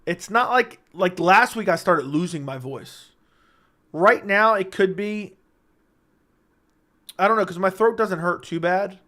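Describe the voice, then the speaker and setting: A man hosting a casual livestream, reading chat questions aloud and answering them in a joking way, his voice slightly raspy and worn. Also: high-pitched, measured pace, long pauses, pushed and bright.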